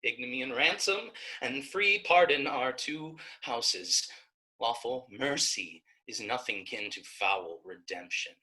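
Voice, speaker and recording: Slow, intense devout young woman played by a man. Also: mid-range voice, sharp tone, low-quality recording. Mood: defiant. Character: devout, steadfast young woman pleading for her condemned brother. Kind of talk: Shakespearean staged reading.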